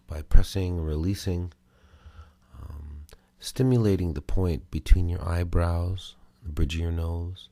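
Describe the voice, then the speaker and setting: A man giving guided meditation and self-massage instructions in a measured way, pausing between short phrases. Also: low voice, warm tone, no room sound.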